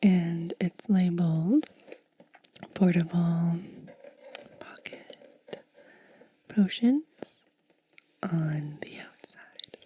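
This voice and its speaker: A woman hosting ASMR, speaking softly in a breathy, hushed whisper and lingering over short phrases. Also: fairly low pitch, drawn-out words, long pauses, a little hiss or hum. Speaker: ASMR video host. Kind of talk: soft-spoken ASMR.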